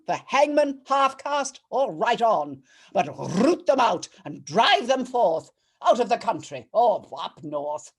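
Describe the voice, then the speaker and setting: A woman playing a righteous female judge, declaiming comic rhyming verse theatrically and savouring each rhyme. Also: on the high side. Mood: imperious, brisk. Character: regal, righteous female judge of a bawdy court. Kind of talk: dramatic verse reading, rhyming comic poem.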